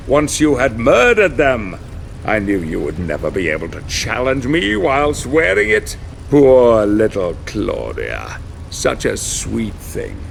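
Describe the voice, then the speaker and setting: An actor playing a smug, theatrical ancient sorcerer villain, delivering a deliberate, gloating monologue in a video game. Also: male voice, medium pitch, gravelly, a little hiss or hum. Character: scheming, gloating dark sorcerer lord, male, ancient villain.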